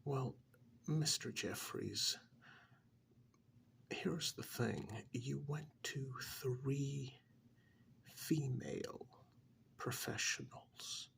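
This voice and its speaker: A man playing a calm, frank therapist in a soft-spoken ASMR role-play, talking in a measured, halting, half-whispered way close to the microphone. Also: medium pitch, creaky, heavily acted. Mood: explanatory, matter-of-fact. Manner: measured, halting, matter-of-fact, professional. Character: calm, frank male therapist counselling a troubled married man.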